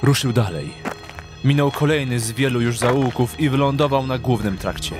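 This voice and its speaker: A man's voice: medium pitch, moderate pace. A man narrating a dramatic audio drama from a script. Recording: clear music behind the narration.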